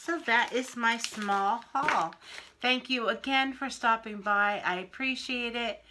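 A middle-aged woman from Pennsylvania, a little wheezy and often clearing her throat, chatting through a craft haul on camera in her home kitchen. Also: on the high side, noisy background. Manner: chatty, unhurried, friendly show-and-tell. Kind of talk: casual haul video. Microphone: camera in front at arm's length.

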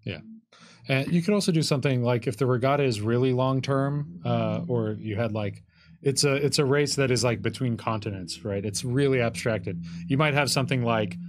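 A man's voice: medium pitch, moderate pace. A man in his thirties giving casual explanations as a game designer, in a conversational, hedging way full of asides. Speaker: game designer answering rules questions. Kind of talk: casual explanatory panel talk. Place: indoor studio table with curtain backdrop. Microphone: tabletop microphone on a stand.

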